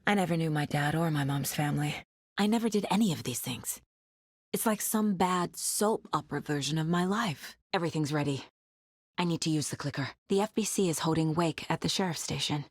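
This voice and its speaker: Guarded woman investigator in a horror game, husky, terse and earnest, super dry close sound. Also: low voice, no room sound. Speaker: video game voice actress. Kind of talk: naturalistic video game dialogue.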